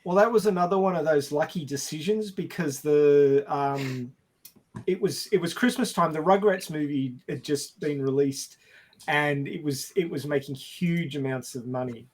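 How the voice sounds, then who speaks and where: A man with a fairly high-pitched voice talks casually about film. He tells behind-the-scenes stories as a podcast panelist, slipping into quoted lines in an animated way. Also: normal speed.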